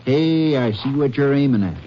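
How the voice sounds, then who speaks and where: A man's voice: mid-range voice, normal speed, natural voice. A man playing a folksy small-town sheriff. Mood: easygoing. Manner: folksy, easygoing drawl. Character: friendly adult male small-town Texas sheriff. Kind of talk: 1950s radio drama acting. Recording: dull lo-fi old radio recording with faint hiss.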